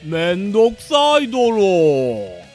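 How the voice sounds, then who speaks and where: A man's voice: high-pitched, huge pitch swings, slow pace, soft background music, exaggerated voice. A man playing a lazy, grumbling monster henchman with a sluggish manner in an amateur audio drama. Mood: unenthusiastic.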